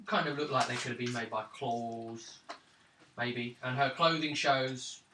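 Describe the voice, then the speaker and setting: A man narrates a tabletop game as its game master, describing each scene in a measured way. He is recorded from a distance in a roomy space. A clear background hiss sits under his voice. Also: mid-range voice, fairly even intonation.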